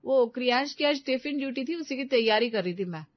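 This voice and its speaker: A woman's voice: high voice, animated, a little fast, no echo, lo-fi sound, voice pushed up. A woman voicing an exasperated, fussy young housewife and mother for a cartoon story. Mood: apologetic, flustered. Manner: scolding, fussy.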